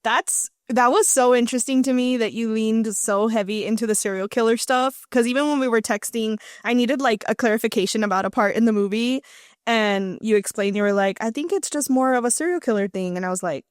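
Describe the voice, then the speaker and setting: Female podcast co-host, fairly high voice, informal chatty film talk, dry recording. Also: a little fast, clean tone, no echo. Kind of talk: casual film review chat.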